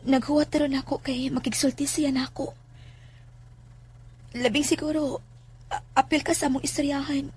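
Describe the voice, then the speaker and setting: A woman's voice: high voice, little pitch movement, normal speed, long pauses, darkish tone, natural voice. A woman playing an anxious, suspicious teenage schoolgirl who stammers and questions in a horror audio drama. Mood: uneasy, defensive.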